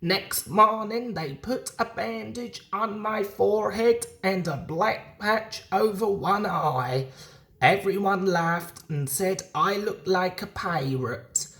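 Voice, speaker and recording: A woman's voice: medium pitch, takes it slowly, a bit bright, pitched above the performer's own voice. A woman voicing a proud, chatty male steam engine telling of adventures in a children's storybook. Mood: dramatic, animated. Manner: boastful, dramatic storytelling.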